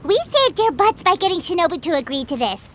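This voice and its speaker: A woman voicing a tiny, feisty, childlike fairy companion in a video game, squeaky and emphatic. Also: big pitch swings, brisk, very noisy. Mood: smug, relieved. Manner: emphatic, refers to self in third person. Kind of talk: animated video game voice acting.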